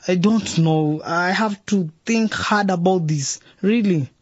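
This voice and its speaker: An actor playing a dejected teenage son who talks in a downcast, stammering way. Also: male voice, sits high, slow, very noisy. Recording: dull dry radio drama recording.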